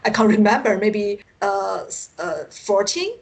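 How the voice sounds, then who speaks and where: A woman from China with a Chinese accent, a native Mandarin speaker, answering interview questions as an academic guest, haltingly searching for words. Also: medium pitch, darkish tone, breathy edge. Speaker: interview guest, university teacher and researcher. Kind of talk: unscripted interview conversation.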